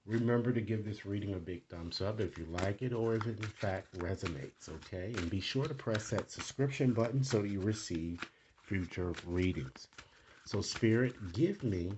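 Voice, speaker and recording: A man hosting a tarot reading, giving spiritual guidance straight to the listener in a deliberate, emphatic manner. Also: fairly low pitch, background noise. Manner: deliberate, emphatic, with frequent check-in tags like "okay?". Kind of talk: spiritual guidance reading, addressing viewers directly.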